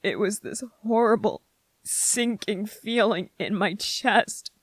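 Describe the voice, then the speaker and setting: A performer voicing a gentle, grieving young male soldier in an intimate ASMR roleplay, speaking haltingly and emotionally, close to tears. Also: mid-range voice, no echo. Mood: grieving, aching. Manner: halting, emotional, earnest, short broken phrases.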